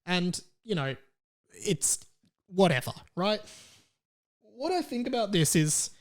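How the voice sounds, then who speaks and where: Higher-pitched Australian man, chatty and irreverent. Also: very animated, slow. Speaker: solo podcast host. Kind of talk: casual comedic rant.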